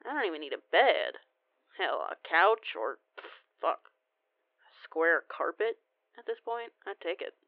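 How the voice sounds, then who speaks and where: A woman's voice: fairly low pitch, very animated, a little slow, no echo. A woman playing a cheeky, rambling young female werewolf cousin in an audio roleplay phone call, sheepish, folksy and a bit foul-mouthed. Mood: pleading. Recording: tinny band-limited phone line, very dry.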